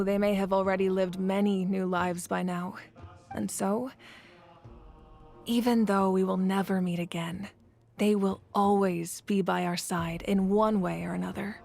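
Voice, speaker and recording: A woman voicing a confident, calm young female leader in an anime fantasy game, composed and assured, with a wry warmth. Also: mid-range voice, vocal fry.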